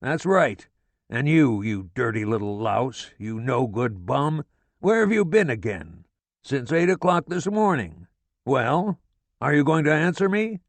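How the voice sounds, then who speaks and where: A man voicing a furious, foul-mouthed middle-aged father who rants and shouts curses at his family. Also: medium pitch, big pitch swings, unhurried, dry recording, voice pushed up. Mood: bellowing.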